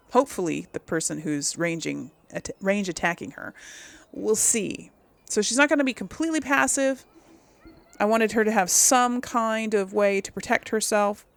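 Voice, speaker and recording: A woman's voice: fairly low pitch, creaky. A woman giving casual gameplay commentary as the host of her series, informal and conversational, thinking aloud as she goes. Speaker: video game streamer, host of a role-play series.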